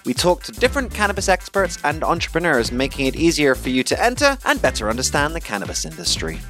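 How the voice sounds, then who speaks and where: A man's voice: on the high side, wildly swooping pitch, quick pace, music in the background. A man hosting a podcast with a mid-Atlantic accent and a slight American twang, reading an upbeat intro and asking questions. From Luxembourg. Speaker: podcast host. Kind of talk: scripted intro and interview.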